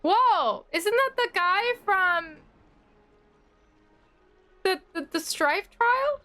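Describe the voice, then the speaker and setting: High, bright-voiced woman streaming. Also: expressive, extremely slow, faint music behind. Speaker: streamer reacting to a game. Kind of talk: casual live-stream chat.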